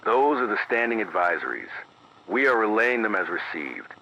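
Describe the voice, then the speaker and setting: A man reading a scripted emergency radio news bulletin in a measured, deliberate, grave manner, through an AM radio filter. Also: lowish voice, big pitch swings, gravelly, pushed and bright. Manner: measured, deliberate, grave, clipped official phrasing. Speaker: radio news anchor in a fictional emergency broadcast. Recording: muffled AM radio sound, background static.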